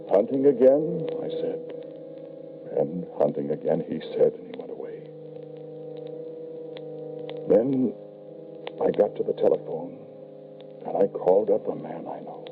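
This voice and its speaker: An actor playing an anguished, grieving father who narrates his tale solemnly and haltingly in an old-time radio horror drama. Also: sits low, a little hiss or hum. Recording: muffled, band-limited old radio recording.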